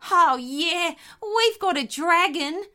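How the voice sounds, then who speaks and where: A woman voicing an anxious little wombat in a children's story, blurting out bluffs in a hurried manner. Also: extremely high pitch, expressive, bright, forceful tone, soft background music, pitched above the performer's own voice, full character voice. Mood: bluffing.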